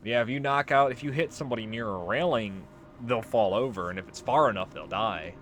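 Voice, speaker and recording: A man's voice: medium pitch, brisk, fairly bright tone, slight background noise. A man giving casual let's play commentary on a video game, wry and freely swearing. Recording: voice over game music. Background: game music.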